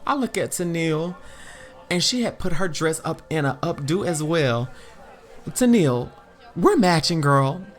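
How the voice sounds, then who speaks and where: An American narrator playing a sassy, lovestruck, confident woman in a chatty first-person audio drama, expressive and full of slang. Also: medium pitch, unhurried, soft background music. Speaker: narrator and lead character.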